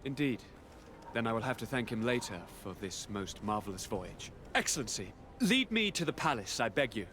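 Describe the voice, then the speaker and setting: Young noble governor, game character, theatrical, pompous, swooping intonation, faint background noise. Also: male voice, mid-range voice, big pitch swings, normal speed, sharp tone. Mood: buoyant, gallant. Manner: flowery, theatrical, enthusiastic. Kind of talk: video game voice acting.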